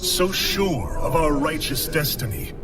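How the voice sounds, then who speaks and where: An actor playing a weary, doubting alien warrior leader, speaking gravely and formally. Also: male voice, fairly high pitch, sing-song, measured pace. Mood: brooding, grave. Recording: loud dramatic music under the voice. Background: loud music.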